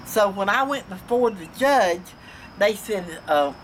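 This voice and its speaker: Female, older, Georgia Southern accent. Folksy, blunt storyteller with salty language, mid-pitched, clearly noisy outdoor home recording. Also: expressive, unhurried. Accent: Southern American, Georgia. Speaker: storytelling grandmother. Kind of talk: casual personal storytelling. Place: outdoors on a front porch. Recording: noisy outdoor home video, passing vehicles.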